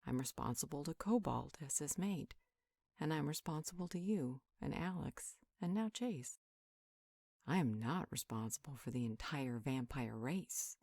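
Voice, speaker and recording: Lady doing a motherly healer, lowish voice, soothing and affectionate, dry close sound, roleplay audio. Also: animated, a little slow, gravelly, darkish tone, no echo, full character voice. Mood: reassuring, reasoning. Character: nurturing, protective female magical healer and mother figure.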